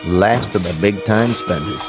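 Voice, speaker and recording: An actor playing a sardonic American bartender in a vintage radio drama, slangy and dryly teasing. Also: male voice, on the low side, unhurried, music in the background, natural voice. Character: wisecracking, sardonic bartender at a neighborhood joint.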